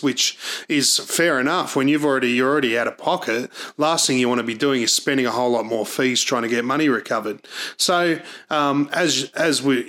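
A man's voice: mid-range voice, a bit bright. A man with an Australian accent, telling stories from his job casually as a podcast host. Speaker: podcast host, private investigator.

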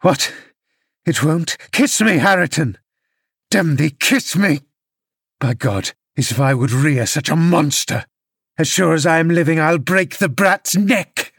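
A man's voice: fairly high pitch, unhurried, a bit bright, husky, dry recording, clean studio sound, pitched above the performer's own voice, heavily acted. A man voicing a drunken, violent young father who rages and curses. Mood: raging. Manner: raging, blustering.

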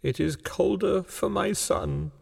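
Man voicing a shrill, frantic old woman. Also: takes it slowly, gravelly, darkish tone, husky, big-room sound, heavily acted. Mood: weeping, bitter. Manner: wild, pleading, urgent cries. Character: frantic grieving old woman, feverish and hysterical. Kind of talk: dramatic audiobook character reading.

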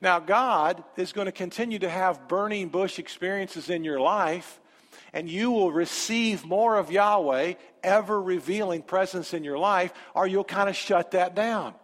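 Pastor, male, high voice, emphatic sermon delivery, reverberant hall. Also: unhurried, a bit bright. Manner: emphatic, repeats phrases.

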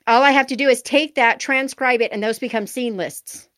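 A woman's voice: on the high side, normal speed, a bit bright, dry recording, studio quality. A woman in her forties with a nasal voice, chatting candidly and self-deprecatingly as an author being interviewed. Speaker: author guest. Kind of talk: casual interview answers.

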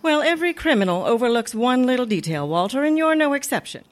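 A woman playing a wry, sensible but soft-hearted schoolteacher in an old-time radio comedy, gently admonishing. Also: high voice, sing-song, quick pace. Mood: wry, warning.

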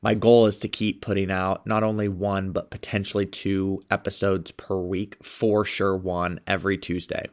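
A young man hosts his own motivational podcast, sounding stuffed up and a bit sniffly from a cold. His voice sits on the low side, and he talks with eager, upbeat energy as he coaches listeners on good habits. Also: level tone, normal speed, few pauses. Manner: upbeat, enthusiastic. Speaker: podcast host and sales coach.

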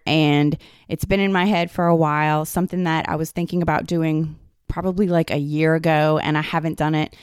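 Slightly raspy, hoarse woman with a cold, lowish voice and dry close sound, loose conversational solo podcast talk. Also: dry recording. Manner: loose, conversational, many "like" and "kind of". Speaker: podcast host.

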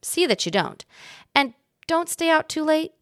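A woman's voice: high voice, big pitch swings, unhurried, a bit bright, no room sound, studio quality, pitched above the performer's own voice, heavily acted. A woman voicing a friendly but cautious and slightly bossy young boy in a storybook reading. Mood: cautioning.

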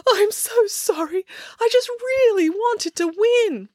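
Lady reading as a weepy schoolgirl, way higher voice, wailing and pleading, dry sound. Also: very animated, moderate pace, a bit bright, dry recording. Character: upset schoolgirl caught cheating, tearful.

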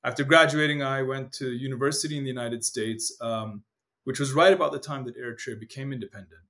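A man in his forties from East Africa, born in Ethiopia and raised in Kenya, whose first language is Amharic, giving measured, reflective answers as an interview guest. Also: medium pitch, sharp tone. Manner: measured, reflective, with frequent restarts.

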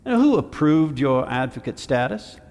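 A man playing a courteous, methodical senator at an inquiry in an audio drama, formal and measured. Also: medium pitch, big pitch swings, gravelly, a little mellow, highs cut off. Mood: courteous, probing. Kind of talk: fiction podcast audio drama. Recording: echoey hall with long reverb.

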